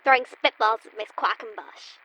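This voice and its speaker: A woman's voice: a little high, normal speed, bright, forceful tone, low-quality recording. A woman playing a feisty, bossy, wisecracking girl in an old-time style radio comedy sketch. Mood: sly, confident. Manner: brash, wisecracking, teasing.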